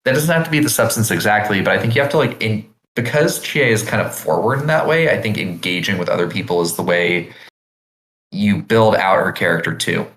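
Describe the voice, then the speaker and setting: A man's voice: on the low side, a little fast, a bit bright, roomy sound, poor audio. A man co-hosting a podcast, giving casual, conversational feedback. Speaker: podcast co-host.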